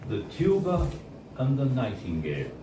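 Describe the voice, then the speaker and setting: A man reading a story aloud live on a bar stage, in a measured, theatrical orator's manner with deliberate pauses. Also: mid-range voice, huge pitch swings, recorded from a distance. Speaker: reader of a story. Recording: echoey, noisy bar recording from off.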